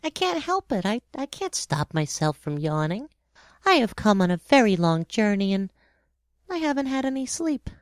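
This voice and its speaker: A man voicing a timid, curious little prince in a storybook tale, speaking softly and hesitantly. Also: high-pitched, very animated, moderate pace, clean tone, no echo, clean studio sound, voice pushed up. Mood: tired, apologetic. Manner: soft, hesitant, apologetic.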